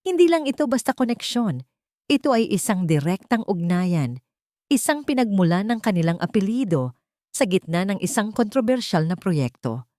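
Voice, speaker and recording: A woman's voice: medium pitch, very animated, moderate pace, dark tone, dry recording, clean studio sound. A woman reading a scripted story as narrator, with an earnest, dramatic delivery.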